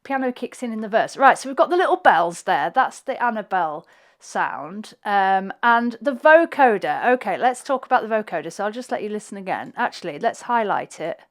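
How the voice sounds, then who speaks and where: Woman, slightly croaky, a flutist casually walking viewers through her own mix, middle-pitched voice. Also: expressive, moderate pace. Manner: chatty, thinking aloud while clicking through tracks. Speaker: flutist and home producer. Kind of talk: talking through a music session on screen.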